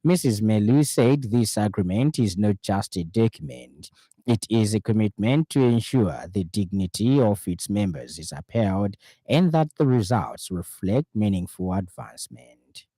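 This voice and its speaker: Zambian male radio news presenter, formal read, low relaxed voice, dry studio sound. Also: unhurried, no room sound. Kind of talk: scripted news bulletin reading.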